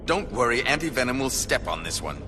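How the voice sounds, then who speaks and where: A ruthless, calculating villain from a video game, acted by a man. He is cold and dismissive, in a mid-pitched voice with varied inflection. Music plays clearly behind. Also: very animated, brisk, close to an everyday voice. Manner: dismissive, controlled menace. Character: cold, ruthless male corporate schemer villain.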